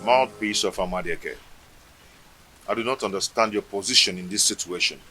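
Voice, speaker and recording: A bright-voiced petitioner. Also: male voice, on the low side, moderate pace, soft background music. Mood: demanding. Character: defiant man questioning a shrine's oracle.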